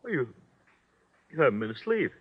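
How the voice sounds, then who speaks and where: Anxious, wildly swooping radio-drama husband. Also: male voice, medium pitch, big pitch swings, husky, no room sound. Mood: groggy, agitated. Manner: urgent, agitated, halting. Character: anxious, fretful husband pressing his sleepless wife. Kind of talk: 1940s radio drama acting. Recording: muffled vintage 1940s radio recording.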